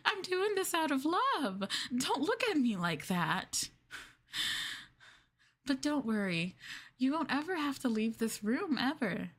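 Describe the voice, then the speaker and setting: A woman's voice: on the high side, breathy edge, heavily acted. A woman playing an obsessive, possessive girlfriend in an ASMR roleplay, sweetly menacing and coaxing the listener in a cooing manner. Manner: cooing, coaxing, breaking into giggles and whispers.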